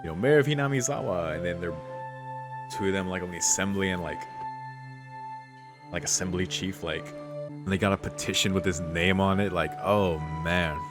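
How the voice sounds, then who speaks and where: Low-pitched man, laid-back gaming commentary. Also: moderate pace, pauses often. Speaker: let's play streamer. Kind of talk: casual gaming commentary, reading subtitles aloud. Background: game music.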